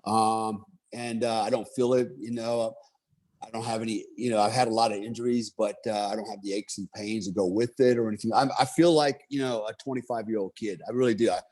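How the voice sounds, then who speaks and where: A man's voice: fairly low pitch, fairly even intonation, fairly quick, darkish tone. A man in his fifties from the United States, telling his own life story in a loose, casual monologue full of fillers and restarts. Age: 50s. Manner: rambling, many fillers and restarts.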